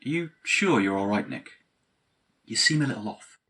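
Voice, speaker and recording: A man's voice: lowish voice, lively intonation, normal speed, bright, forceful tone, breathy edge, heavily acted. A man acting a patient but exasperated straight-man best friend, wry and long-suffering, in a comedic audio play. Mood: concerned.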